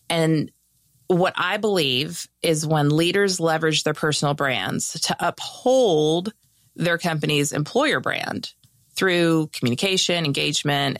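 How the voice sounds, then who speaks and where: A woman's voice: deep voice, fairly bright tone, no echo, clean studio sound. A woman hosting her own business podcast, speaking in an upbeat, emphatic way, sounding nasal from a head cold. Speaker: podcast host. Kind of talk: casual solo podcast talk.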